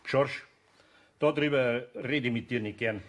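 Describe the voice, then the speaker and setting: An actor playing a skeptical, good-natured master carpenter with a Bavarian accent, grumbling and dismissive in a radio play. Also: male voice, medium pitch, a little slow, natural voice. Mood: dismissive, wary. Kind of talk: German radio play dialogue.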